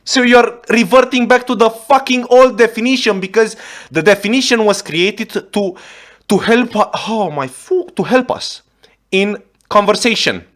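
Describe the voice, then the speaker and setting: A man's voice: high voice, fairly bright tone. Exasperated Romanian-accented man ranting. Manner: exasperated, swears often, halting restarts. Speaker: reaction video host. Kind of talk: ranting reaction commentary.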